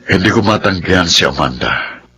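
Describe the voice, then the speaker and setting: A man's voice: sits low, animated, normal speed, pushed and bright, slight background noise. A man acting a conflicted, guilt-ridden stepfather in a Filipino radio drama, earnest and hesitant. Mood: resigned, confiding.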